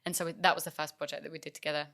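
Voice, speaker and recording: Low-pitched chatty young woman, bright tone. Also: level tone, rapid-fire, vocal fry. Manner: chatty, many fillers and restarts. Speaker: musician guest. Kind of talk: casual interview chat.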